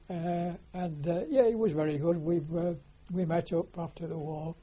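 An older man with a Lancashire accent, reminiscing as a war veteran in an interview, pausing often on fillers. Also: a little high, steady tone, warm tone, slight background noise. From Lancashire, England. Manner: hesitant, many filler pauses. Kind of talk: oral history reminiscence. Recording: dull lo-fi recording.

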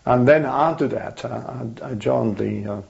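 An older man with a French accent, a native French speaker, recalling his life in an oral history interview, pausing often with fillers and restarts. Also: mid-range voice, creaky, darkish tone. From France. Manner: hesitant, many fillers and restarts. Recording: dull lo-fi archival tape.

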